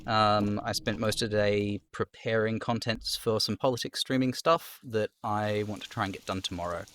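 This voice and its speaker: A man's voice: lowish voice, steady tone, moderate pace, fairly bright tone, slight background noise. A man live-streaming a video game, switching between reading out dialogue and casual commentary.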